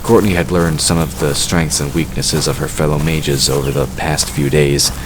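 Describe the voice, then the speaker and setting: Extremely deep-voiced male story narrator. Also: fairly even intonation, normal speed, noisy background. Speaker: audiobook-style narrator. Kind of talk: scripted third-person fantasy narration.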